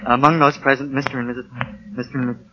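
An actor playing an anxious, excitable young husband who stammers nervously, in 1940s radio drama style. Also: male voice, medium pitch, rapid-fire, natural voice. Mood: excited. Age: young adult. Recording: muffled, noisy 1940 radio broadcast.

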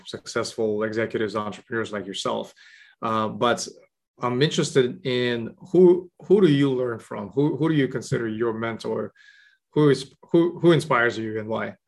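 Male podcast host, medium-pitched voice, conversational interviewing.

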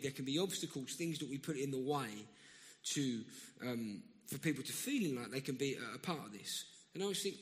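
A man's voice: a little high, fairly bright tone. A man with a South London accent preaching a sermon in a conversational way, often restarting and breaking into emphatic bursts. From South London, England. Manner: conversational, self-interrupting, rising to emphatic bursts. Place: church hall. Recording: long church reverb.

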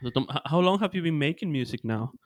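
A man's voice: on the high side, expressive, quick pace. A man from Ecuador hosting a podcast, asking questions casually with frequent restarts. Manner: friendly, stumbling restarts. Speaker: podcast host. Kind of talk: casual interview chat.

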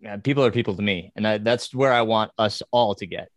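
A man's voice: fairly low pitch, brisk, no echo. A man from New York hosting a casual panel talk, hesitant and laughing often. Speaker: podcast host.

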